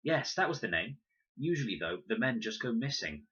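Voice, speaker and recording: Storybook boy explorer, read by a man: earnest, keen explainer, bright husky tone. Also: medium pitch, sing-song, moderate pace, muffled recording, voice pushed up, full character voice. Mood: eager, absorbed.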